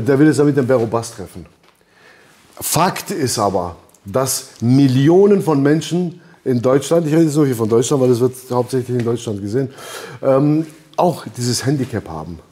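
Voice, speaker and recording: Laid-back man with a mellow voice. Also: medium pitch. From Albanian background. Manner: laid-back, rambling, laughs often, acts out quotes. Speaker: podcast host. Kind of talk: casual rap-scene chat. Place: lounge by a boxing ring, indoors.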